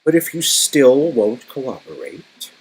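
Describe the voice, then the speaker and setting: A man's voice: mid-range voice, very animated, slow pace, husky, pitched above the performer's own voice, heavily acted, low-quality recording. A man voicing a menacing, cold villain boss who can turn snarling and frantic, in a dramatic game dialogue reading. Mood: cold menace.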